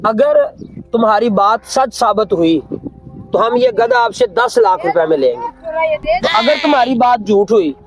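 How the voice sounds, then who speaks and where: A man playing a bossy, suspicious villager in a cartoon story, exclaiming loudly and emphatically. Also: high voice, very animated, a little slow, soft background music, rough recording. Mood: suspicious, challenging.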